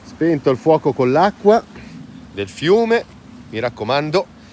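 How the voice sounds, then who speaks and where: Man vlogging, with clear background noise. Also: fairly high pitch, big pitch swings, slow pace, dark tone. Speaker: vlog host. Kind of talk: casual chat to camera. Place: mostly outdoors in mountain valley and woods. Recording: noisy outdoor ambience.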